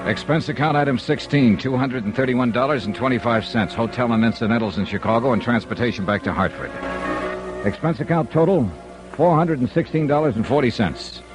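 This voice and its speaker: An actor playing a hard-boiled investigator, narrating his case in clipped, deadpan radio detective style. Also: mid-range voice, a little fast, lightly acted, poor audio. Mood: wry, deadpan. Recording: old radio recording with music behind.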